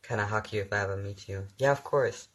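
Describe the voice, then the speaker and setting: A man's voice: lowish voice, fast talker, a bit bright. A young man whose first language is German chats casually on a phone livestream, reading viewer questions aloud and answering with ums and easy laughs. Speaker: actor hosting his own livestream.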